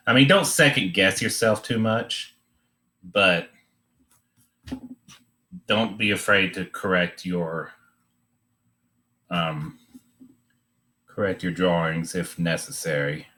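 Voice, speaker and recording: Slow, low-voiced man teaching drawing. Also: bright, forceful tone. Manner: halting, unscripted, thinking aloud. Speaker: art teacher demonstrating a drawing assignment. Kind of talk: informal step-by-step drawing demonstration.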